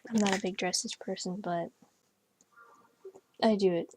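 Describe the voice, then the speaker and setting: A woman's voice: medium pitch, steady tone, measured pace, darkish tone, husky, no echo. A young woman chatting casually while playing a horse game, rambling with lots of fillers and restarts. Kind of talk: casual gameplay chat. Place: bedroom, on a bed.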